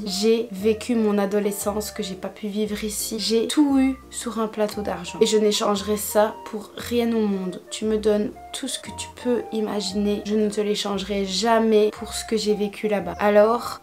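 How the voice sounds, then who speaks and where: A young woman at a middling pitch. She talks as a vlogger confiding in her viewers, casual and candid. Her delivery is emphatic and leans toward dramatic overstatement. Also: a little mellow. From Belgium. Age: 20s. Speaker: vlogger answering viewers' questions. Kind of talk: casual confessional chat to camera.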